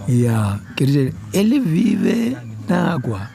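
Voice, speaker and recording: A man's voice: fairly high pitch, sing-song, slow, gravelly, warm tone. A man with the role of a village elder, telling local stories in an interview. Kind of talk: storytelling in an interview.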